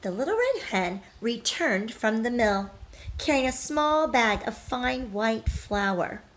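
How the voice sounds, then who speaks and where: Lady teacher doing storytime for little kids, slow and really expressive, asks them questions. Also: a little high. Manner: expressive, prompting young listeners. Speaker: teacher reading aloud. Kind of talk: children's storybook read-aloud with questions to listeners.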